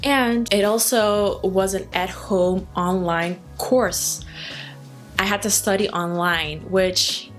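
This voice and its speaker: A woman in her late twenties, Dutch by first language, talks openly and earnestly in a relaxed vlog update. Her voice sits at an ordinary middle pitch. Faint music plays underneath. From Netherlands. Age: late 20s. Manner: candid, chatty, earnest. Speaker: hair care vlogger. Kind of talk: casual personal update to camera.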